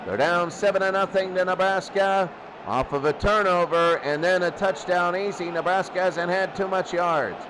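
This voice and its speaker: A man calling simulated football games as a play-by-play announcer, his voice jumping up and getting loud on big plays. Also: high voice, moderate pace, background noise. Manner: excitable, voice jumps up and gets loud on big plays. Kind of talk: simulated radio play-by-play commentary.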